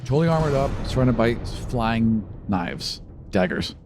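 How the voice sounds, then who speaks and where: A man's voice: mid-range voice, expressive, unhurried, background noise. A man acting as game master, casually explaining rules and narrating scenes for a tabletop role-playing podcast.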